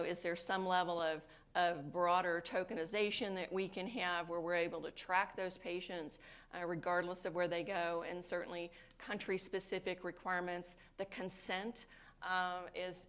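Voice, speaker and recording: Low-pitched woman, thoughtful panelist. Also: a bit bright. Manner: thoughtful, with many restarts and "you know". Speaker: chief operating officer on a panel. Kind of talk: panel discussion. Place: conference stage.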